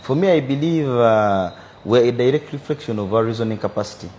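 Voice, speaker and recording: A young Ugandan businessman in his twenties, answering interview questions in a measured, reflective way. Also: medium pitch, a little mellow, slight background noise. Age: 20s. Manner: measured, philosophizing, repeats "for me, I believe". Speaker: businessman guest.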